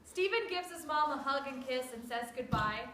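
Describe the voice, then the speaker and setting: A woman narrates a script's stage directions aloud. Her voice is high-pitched, captured in an echoey room with slight background noise. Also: expressive, normal speed, pushed and bright.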